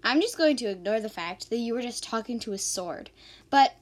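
A woman playing a feisty, exasperated young heroine in an amateur fairy-tale radio drama, sarcastic and excitable. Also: a little high, brisk, lightly acted.

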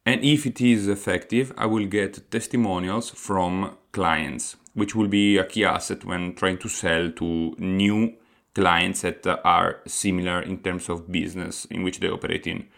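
Solo podcast host, Italian man with an Italian accent, lower-pitched, sincere and hesitant delivery. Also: fairly even intonation, a little slow, creaky, a bit bright. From Italy. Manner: earnest, explanatory, with frequent hesitant fillers.

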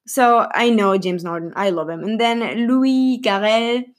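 A woman's voice: fairly high pitch, a little slow. A young woman vlogging casually about a film's cast, rambling and giggly, with lots of fillers and self-corrections. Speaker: film vlogger.

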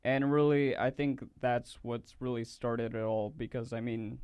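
A man's voice: mid-range voice, steady tone, unhurried, clear, resonant tone, dry recording, highs cut off. A young man who is a patient, answering a question in an interview in a hesitant, thoughtful way. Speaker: guest, patient.

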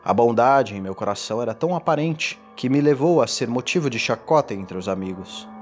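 A man playing a tormented condemned man in a gothic horror audio drama, confessing in a formal, theatrical and deliberate delivery. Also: medium pitch, lively intonation, natural voice. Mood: wistful, grave. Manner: theatrical, deliberate, ornate diction. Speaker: narrator. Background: music.

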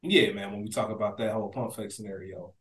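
A man's voice: on the low side, quick pace, fairly bright tone. A man co-hosting a podcast, discussing things in a conversational, reflective way, recorded in an echoey room. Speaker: podcast co-host. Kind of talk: casual discussion.

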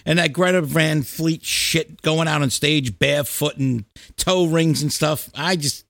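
A man's voice: a little high, unhurried, gravelly, a bit bright, dry recording. A middle-aged man hosting a podcast, chatting casually and bluntly about music with plenty of swearing. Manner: blunt, salty, profane. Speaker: podcast host. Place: remote Skype call, audio show.

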